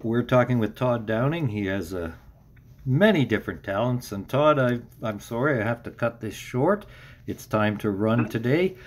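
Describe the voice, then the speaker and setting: Medium-pitched man hosting a casual interview. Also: lively intonation, normal speed. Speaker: interview host.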